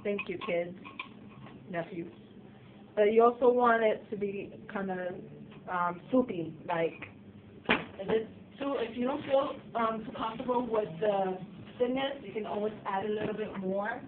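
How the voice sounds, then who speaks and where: A slightly hoarse woman chatting loosely through a cooking demonstration in her home kitchen. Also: medium pitch, a little slow. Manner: chatty, loose, with fillers and restarts. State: slightly hoarse, getting sick. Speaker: cooking show host. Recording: distant, tinny and noisy home video.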